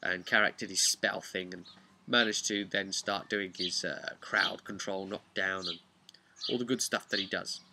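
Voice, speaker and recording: Guy game master chatting thru his rpg session, really bright punchy voice, bit low, stops and starts, stresses words. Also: fairly even intonation, normal speed, slight background noise. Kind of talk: casual recap of a tabletop role-playing game.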